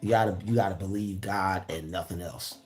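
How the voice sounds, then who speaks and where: Raspy-voiced man, earnest pep talk, repeats himself, faint music. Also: low voice, a little fast. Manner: repeats phrases, preachy and earnest. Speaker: motivational vlogger. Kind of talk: casual motivational talk.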